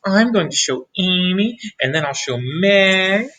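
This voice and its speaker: A man voicing an excited little preschool girl in a read-aloud, rattling off an eager list. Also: high voice, measured pace, pitched above the performer's own voice, exaggerated voice. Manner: eager, chattering listing.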